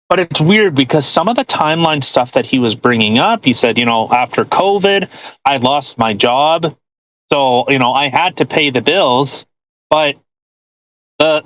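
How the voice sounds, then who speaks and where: A man's voice: on the high side, fairly bright tone, poor audio. A man hosting a podcast in casual, mocking commentary with crude joking. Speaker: podcast host.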